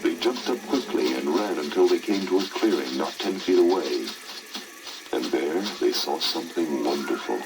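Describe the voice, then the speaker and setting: A male narrator tells a children's tale from a script with theatrical flair. His voice is deep and highly animated. Orchestral music plays behind him, as on an old story record. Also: normal speed, vocal fry, low-quality recording. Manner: theatrical, expressive phrasing.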